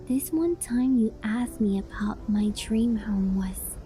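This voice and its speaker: A woman's voice: fairly high pitch, lively intonation, takes it slowly, mellow, faint music behind. A young woman voicing a possessive, doting fox-spirit wife in a cutesy, affectionate ASMR roleplay. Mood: fond, reminiscing. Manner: cutesy, clingy, affectionate, with breathy gasps and giggles. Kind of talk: ASMR roleplay, addressing the listener.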